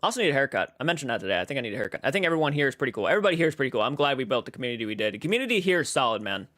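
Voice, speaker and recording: A man's voice: mid-range voice, very animated, rapid-fire, fairly bright tone, no room sound, clean studio sound. A man in his twenties casually chatting as a livestream host, rambling as he reads viewer comments aloud and answers them. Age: 20s.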